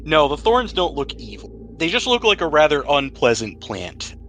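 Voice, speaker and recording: A man's voice: a little high, sing-song, normal speed, a bit bright, slight background noise. Man casually running a tabletop game. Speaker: game master.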